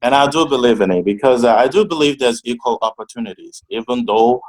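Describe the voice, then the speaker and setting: A young man from Togo talks through his answers on a video call. He hesitates and restarts often, and his pitch sits at an ordinary middle level. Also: a little fast, darkish tone, low-quality recording. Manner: hesitant, many restarts and fillers. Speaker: interview guest. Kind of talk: casual interview answers.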